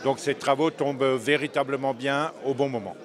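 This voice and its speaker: Measured man explaining in an interview. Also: mid-range voice, steady tone. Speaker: event participant being interviewed.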